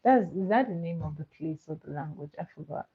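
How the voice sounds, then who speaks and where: A woman with Igbo as her chats easily with her livestream viewers in a lowish voice, giggling and doubling back on her words. The sound is dull and lo-fi, like a home stream with a basic mic. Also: expressive, brisk, mellow, breathy edge. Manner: chatty, giggly, repeats words. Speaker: livestream host. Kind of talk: casual chat with viewers.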